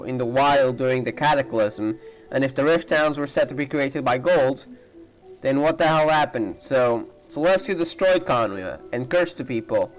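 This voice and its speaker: A man's voice: medium pitch, rough recording. Man giving casual game reaction commentary. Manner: speculative, thinking aloud. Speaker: gaming video creator. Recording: voice over background game music.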